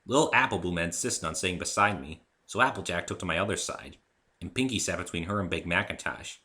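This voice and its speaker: A man narrating a first-person story as an expressive dramatic reading. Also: low-pitched, fast talker. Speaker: narrator and author.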